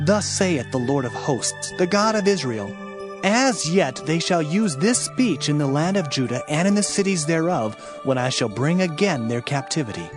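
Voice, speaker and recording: Male audiobook narrator, fairly high register, solemn and declamatory scripture delivery, music underneath. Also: expressive, moderate pace. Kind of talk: dramatized audiobook scripture reading.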